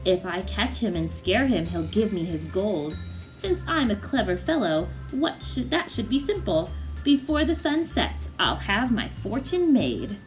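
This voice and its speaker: A woman's voice: medium pitch, very animated, a little slow, music in the background, rough recording. Storybook lad, played by a woman: young, clever, cocky, eager, given to bold exclamations. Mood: excited scheming. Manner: boastful, exclamatory.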